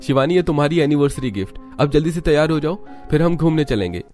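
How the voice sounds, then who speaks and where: A man's voice: a little high, expressive, fast talker, mellow, soft background music, voice pushed up, natural voice. A man voicing a cheerful, doting young husband in a cartoon story, eager and affectionate. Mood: upbeat, loving. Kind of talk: Hindi cartoon story dialogue.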